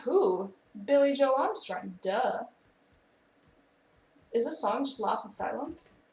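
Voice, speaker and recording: A woman's voice: on the high side, expressive, slow, creaky, a little mellow, recorded from a distance, a little hiss or hum. A young woman chatting with her chat as she cooks on a live stream in a friend's kitchen. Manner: chatty, reads out and answers chat. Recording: roomy, off-mic, with faint music. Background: faint music.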